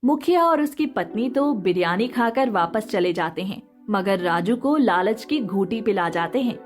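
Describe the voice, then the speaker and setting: A woman narrates a scripted moral story. Her pitch is medium for a female voice. Soft music plays behind her as she tells the tale. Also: expressive, moderate pace. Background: background music.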